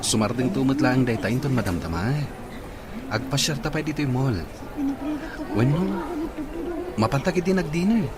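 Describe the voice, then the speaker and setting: A man's voice: medium pitch, expressive, moderate pace, pauses often, close to an everyday voice. A man with a Filipino accent playing an easygoing, generous young boyfriend in an Ilocano audio drama. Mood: casual.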